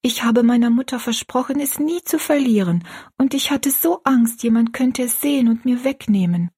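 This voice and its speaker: A woman voicing a timid, earnest little orphan girl in an audiobook story, with a soft, breathy voice. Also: fairly high pitch, expressive, moderate pace, voice pushed up. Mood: earnest, confiding. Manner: fearful, earnest.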